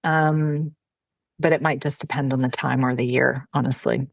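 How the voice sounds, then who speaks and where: A Canadian woman with a low voice and a gravelly edge talks as a podcast guest. She chats in a friendly, easygoing way, fills her thoughts with ums and you-knows, and the sound is dry and close. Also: steady tone, moderate pace, no room sound. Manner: friendly, chatty, frequent fillers and light laughs. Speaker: guest, personal brand consultant. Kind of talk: casual interview conversation.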